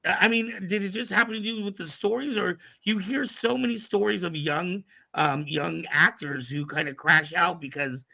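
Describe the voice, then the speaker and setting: A man's voice: sits high, normal speed, gravelly, few pauses, bright, forceful tone, dry recording, muffled recording. A man hosting a podcast, asking rambling, eager questions with many fillers. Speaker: podcast host. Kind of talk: casual interview chat.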